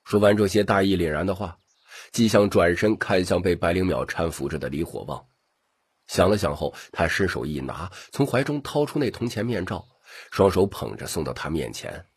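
A man with a rough voice narrating a scripted audiobook story. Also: deep voice, animated, moderate pace.

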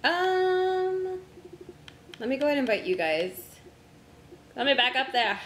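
Hoarse young woman streaming games. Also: high voice, very animated, measured pace, a bit bright, reverberant, slight background noise. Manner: distracted, talks to herself while navigating menus. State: losing her voice, hoarse. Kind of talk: casual live-stream chat with friends.

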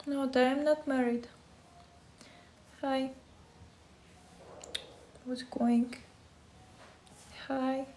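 A woman in her twenties from Russia with a Russian accent, chatting casually with live-stream viewers from her phone at home, softly thanking them and answering questions. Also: high voice, flat delivery, extremely slow, pauses often, slight background noise. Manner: soft, friendly, thanking viewers and reading chat. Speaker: livestreamer. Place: indoors, bedroom. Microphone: phone at arm's length.

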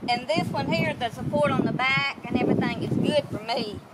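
Woman, high voice, brisk asides, heard from a distance outdoors with loud background noise. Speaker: co-host. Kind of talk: casual chat. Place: outdoors on a grassy yard.

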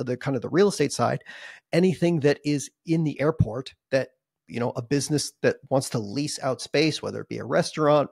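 Man, 30s, gravelly and husky, rambling casual analyst on a desk mic, dry sound. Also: mid-range voice, no echo. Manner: rambling, many restarts and fillers. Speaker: investing analyst guest. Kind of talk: casual video call discussion. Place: small home office. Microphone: desk podcast mic on video call.

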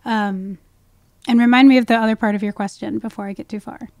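A woman with a fairly high voice talks as an ecologist guest on a podcast. She explains in a conversational way, peppered with asides, and the sound is dry and close. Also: fairly even intonation, fairly quick, creaky, a little mellow, no room sound. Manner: explanatory, with frequent "you know" asides.

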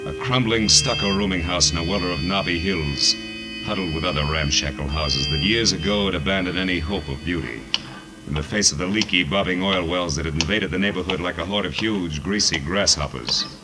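An actor playing a tough, wisecracking private detective, terse and sardonic, in 1940s radio noir style. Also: male voice, sits low, brisk, loud music behind the voice, close to an everyday voice. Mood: brooding, wry. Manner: terse, sardonic, hard-boiled.

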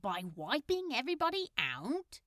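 Woman voicing a bright, laid-back male hero. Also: sits high, wildly swooping pitch, normal speed, no echo, clean studio sound, voice pushed up, full character voice. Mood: casual. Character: laid-back, friendly male superhero captive. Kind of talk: fan fiction audiobook character.

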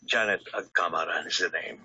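A man with a husky voice, speaking as a priest on a religious radio programme, heard through a radio speaker. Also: low-pitched, fairly quick, pushed and bright. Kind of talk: religious radio talk with blessing. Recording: muffled radio speaker re-recorded on camera.

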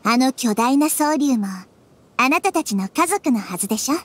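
High-voiced gentle little girl deity. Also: animated, slight background noise. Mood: gentle resolve. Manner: soft, thoughtful, measured. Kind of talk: Japanese anime game voice acting. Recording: music behind the voice.

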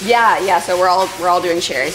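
A woman of thirty with a slightly low voice chats casually. She is a student talking to a camera in a workshop. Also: brisk, low-quality recording. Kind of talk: casual chat. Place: workshop indoors.

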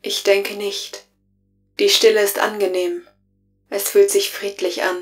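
A woman playing a resigned, gentle artificial intelligence in an audio drama, subdued and halting in delivery. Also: medium pitch, level tone, soft background music, close to an everyday voice. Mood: weary, resigned.

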